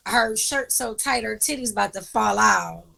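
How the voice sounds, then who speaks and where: A woman's voice: a little high, moderate pace, vocal fry, over a noisy crowd. Blunt, slangy woman in casual talk. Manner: quotes others in put-on voices. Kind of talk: casual chat.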